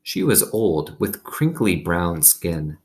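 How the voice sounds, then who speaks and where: A man's voice: sits low, very animated, takes it slowly. A man reading a children's story aloud expressively, with casual asides to his listeners. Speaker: reader reading a children's novel aloud to students.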